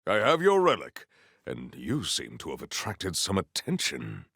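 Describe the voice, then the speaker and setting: An actor playing a noble, earnest warrior hero in a video game, speaking in a pompous, formal and grandiose way. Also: male voice, deep voice, wildly swooping pitch, a little slow, creaky, fairly bright tone, husky, dry recording, clean studio sound, exaggerated voice. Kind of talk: video game character voice acting.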